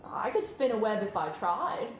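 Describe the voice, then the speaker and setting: A woman's voice: medium pitch, normal speed, lightly acted. A woman voicing a naive, eager, boastful young pig in a children's storybook read-aloud. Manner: earnest and excitable. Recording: roomy sound, music behind.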